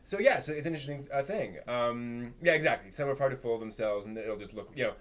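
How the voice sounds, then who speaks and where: A man's voice: medium pitch, lively intonation, fast, a bit bright. A man hosting a casual live stream discussion, talking in a hesitant way that circles toward his point.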